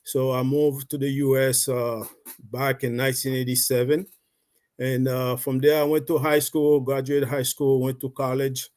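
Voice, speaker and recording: A man's voice: medium pitch, little pitch movement, normal speed, a little mellow. A middle-aged man from Haiti with a Haitian accent, a guest telling his life story in a rambling, casual way with many fillers. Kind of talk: casual live-stream storytelling.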